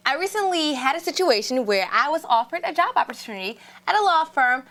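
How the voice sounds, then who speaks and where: A chatty woman co-host with a slightly high voice tells a story in a loose, conversational way. She is quick to laugh and keeps the talk casual. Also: sing-song, a bit bright. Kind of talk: casual chat.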